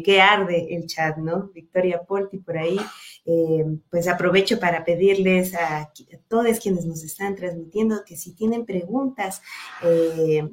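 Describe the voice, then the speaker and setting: A woman moderating an online meeting on a video call. She greets the audience, reads introductions and talks in a friendly way with many small fillers. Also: mid-range voice. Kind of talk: moderating a virtual panel, reading introductions.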